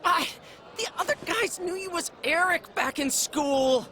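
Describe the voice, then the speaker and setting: An actor playing a whiny, envious young barfly in a game cutscene, excitable. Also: male voice, very high voice, unhurried, a faint crowd, full character voice. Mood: mortified, begging. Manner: whining, excitable. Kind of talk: video game cutscene acting. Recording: noisy ambience behind the voice.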